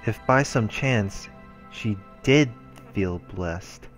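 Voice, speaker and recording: A deep-voiced man reads a visual novel aloud for a let's play. His delivery is earnest and story-like, with music playing softly underneath. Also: very slow. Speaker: let's player.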